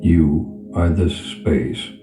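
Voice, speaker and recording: A man leading a guided meditation, giving unhurried instructions with long pauses between phrases. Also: a bass voice, steady tone. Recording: roomy echo with music behind. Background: music.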